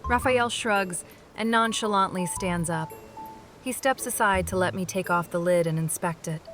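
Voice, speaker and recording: A woman's voice: lowish voice, moderate pace, a bit bright, soft background music. A woman reading a scripted first-person game story, moving between narration and dialogue. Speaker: voice-over reader of a game story.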